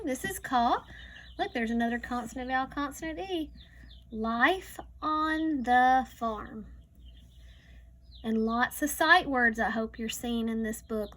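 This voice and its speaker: Slow, high-voiced woman teacher reading aloud. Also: bright, forceful tone. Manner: slow, deliberate reading with stretched words and teaching asides. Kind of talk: reading a picture book aloud to young students.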